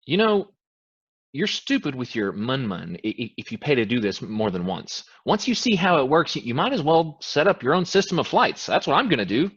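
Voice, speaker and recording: A man playing a cocky, blunt schemer in a staged play reading, with a husky voice. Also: mid-range voice, very animated, brisk, a bit bright. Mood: scornful, boastful. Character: cocky, blunt male passenger in a pyramid scheme. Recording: dull lo-fi recording.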